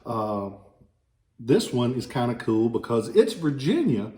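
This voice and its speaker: A man with a light Southern accent talks casually about old ballots, drifting into folksy asides and jokes. His voice sits at an ordinary middle pitch. The recording is roomy, with a clear echo off the walls. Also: sing-song, a little slow, mellow. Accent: slight Southern American. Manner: rambling, folksy, joking asides. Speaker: relic dealer showing ballots. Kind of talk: casual informational video. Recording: echoey room.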